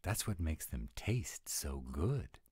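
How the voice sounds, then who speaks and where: Grumpy tsundere were-dragon played by a man, roleplay audio. Bass-deep with lively swings, fussy about rules, dry close sound. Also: husky, no room sound, full character voice. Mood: fussy, cooperative. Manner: fussy, exasperated, drawn-out emphatic phrasing. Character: grumpy, rule-bound male were-dragon, exasperated tsundere boyfriend.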